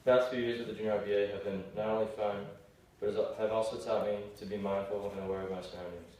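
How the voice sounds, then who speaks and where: A young man, around high school graduation age, delivering a prepared thank-you speech as a graduating senior. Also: steady tone, fast talker, darkish tone. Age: late teens, young adult. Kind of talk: prepared farewell speech to a congregation. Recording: very echoey hall, distant mic, faint noise.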